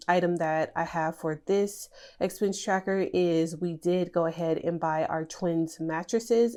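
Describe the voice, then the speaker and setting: A young woman whose voice sits a little low, sounding nasal and stuffed up from a cold. She talks in a relaxed, chatty way, with plenty of fillers, like a host talking through her planner. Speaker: budgeting channel host. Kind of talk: casual talk over budget planning.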